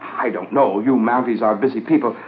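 A man's voice: mid-range voice, fairly quick, natural voice. Guy acting rambly hesitant shopkeeper in old 50s radio play, phone-like tinny audio, bit of noise. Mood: earnest, hesitant. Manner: hesitant, wordy, apologetic. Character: folksy rambling small-town shopkeeper, eager witness. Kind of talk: 1950s radio drama acting. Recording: lo-fi 1950s radio recording, faint noise.

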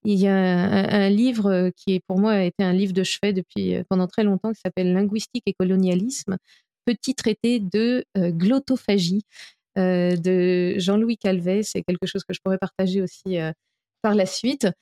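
Woman from France, mellow warm voice, earnest, hesitant, lots of fillers, interview guest. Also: medium pitch, steady tone, normal speed. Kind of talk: podcast interview answers.

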